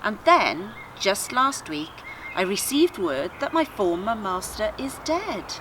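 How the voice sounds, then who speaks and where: A woman's voice: medium pitch, very animated, slow pace, noisy background. A woman voicing a female talking donkey from a storybook, wry and self-amused. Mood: matter-of-fact. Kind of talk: storybook character dialogue.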